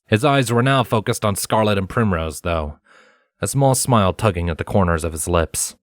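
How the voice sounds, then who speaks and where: Expressive male storyteller, close dry sound. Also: fairly low pitch, moderate pace, no echo. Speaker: narrator. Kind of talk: dramatized fan fiction narration.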